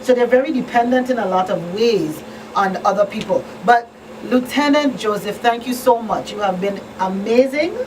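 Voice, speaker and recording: A woman's voice: on the high side, normal speed. A woman speaking as a talk show host in an emphatic, explanatory, conversational way. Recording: distant mic, clear background noise.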